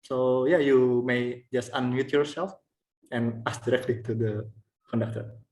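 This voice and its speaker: Slow-talking man moderating a class. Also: medium pitch, steady tone, a little mellow.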